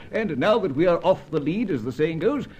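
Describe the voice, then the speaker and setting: An actor playing a genial, unflappable upper-class British spymaster posing as a professor, in old-time radio serial style with plummy, old-fashioned phrasing. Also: male voice, high-pitched, animated, a little slow. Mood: jovial, bluffing. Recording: dull lo-fi audio, noisy background.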